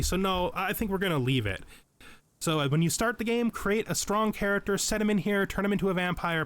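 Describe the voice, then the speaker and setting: A man giving unscripted tutorial commentary over a video game, explaining things step by step and often repeating or rephrasing as he goes. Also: fairly high pitch. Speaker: tutorial let's play host.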